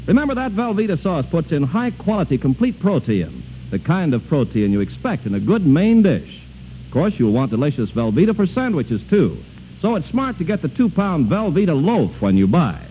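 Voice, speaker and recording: A man's voice: on the high side, big pitch swings, moderate pace. An American man announcing in a polished, emphatic sales style, like a 1940s radio commercial. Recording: tinny, noisy 1940s radio broadcast.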